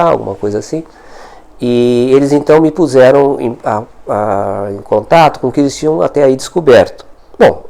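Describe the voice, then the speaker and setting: An older man, a historian, answering interview questions in a thoughtful way, often pausing and correcting himself as he searches for words. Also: medium pitch, vocal fry, mellow. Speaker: historian guest.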